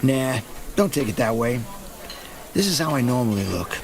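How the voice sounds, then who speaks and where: Actor as a folksy, probing detective, noisy backdrop. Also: male voice, mid-range voice, sing-song, moderate pace. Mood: casual, probing. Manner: casual, folksy, insinuating. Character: probing middle-aged small-town male police detective. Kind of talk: audio drama dialogue. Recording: clear background noise and ambience.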